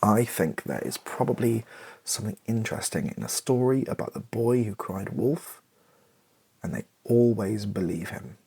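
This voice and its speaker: British male, deep creaky voice, rambling ASMR writing session, typing behind. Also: animated, a little mellow. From Britain. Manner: rambling, self-deprecating, halting as he thinks. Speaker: YouTuber, ASMR video creator. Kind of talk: improvised ASMR writing session, thinking aloud. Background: computer keyboard typing and clicks.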